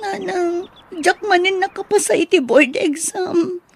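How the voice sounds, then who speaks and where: A woman's voice: very high-pitched, measured pace. A woman playing an anxious, plaintive young daughter in a radio drama. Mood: plaintive, distressed. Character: anxious, plaintive young daughter who leans on her mother.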